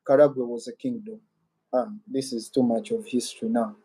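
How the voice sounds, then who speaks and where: A man's voice: medium pitch, fairly even intonation, moderate pace, mellow, breathy edge, rough recording. A man with a Ugandan accent hosting a casual live-stream Q&A, rambling and musing aloud as he reads out viewer comments. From Western Uganda.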